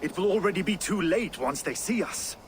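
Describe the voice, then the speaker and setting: An actor playing an earnest, resolute warrior ally in a video game, speaking formally. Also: male voice, high-pitched, lively intonation, brisk, slight background noise. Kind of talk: dramatic video game acting.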